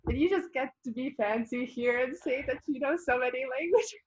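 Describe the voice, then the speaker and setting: A woman's voice: sits high, brisk, slightly rough, poor audio. A young woman from Bosnia and Herzegovina, a native Bosnian speaker and college volleyball player, answering interview questions in a chatty way, with fillers and frequent laughs. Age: young adult. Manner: chatty, laughs often, many fillers and restarts.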